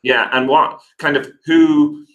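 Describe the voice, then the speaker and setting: A man's voice: on the high side, fairly even intonation, slow pace, fairly bright tone, highs cut off. A man hosting a casual interview over a video call, asking hesitant questions full of fillers. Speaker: interview host.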